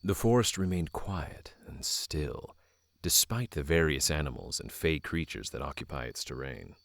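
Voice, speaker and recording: A man's voice: very deep voice, animated, moderate pace, gravelly, fairly bright tone, no room sound, clean studio sound, heavily acted. A man narrating a fantasy audio drama as a solemn storyteller, reading scripted prose. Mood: measured.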